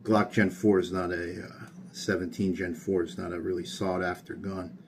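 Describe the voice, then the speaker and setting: A tired man casually talking through a hands-on workshop build, monotone and rambling with plenty of fillers. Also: deep voice, moderate pace, slight background noise. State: tired late at night. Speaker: hobbyist gunsmith. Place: home workshop.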